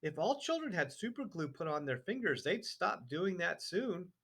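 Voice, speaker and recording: A man's voice: high voice, sing-song, normal speed, a bit bright, pitched above the performer's own voice, full character voice. A man doing a prim scolding mother. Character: bossy know-it-all mother, woman.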